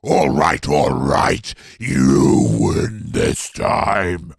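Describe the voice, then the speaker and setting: Super deep, crawling-slow demon, all gravel and croak, whining he can't do a press up. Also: sing-song, dry recording, clean studio sound, full character voice. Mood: whiny. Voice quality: gravelly, husky, groaning. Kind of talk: comedy radio sketch.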